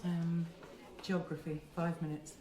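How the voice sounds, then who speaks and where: A woman's voice: on the low side, level tone, a little slow, warm tone, close to an everyday voice. An actress playing a conciliatory, concerned mother in a naturalistic radio drama, practical and full of questions.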